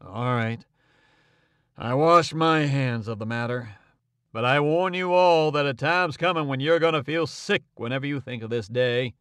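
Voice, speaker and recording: An indignant older doctor , as a male reader puts him on, hammering his words with stern force. His voice sits lower and heavier, recorded close and dry. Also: moderate pace, fairly bright tone, clear, resonant tone, no room sound, lightly acted. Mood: grim.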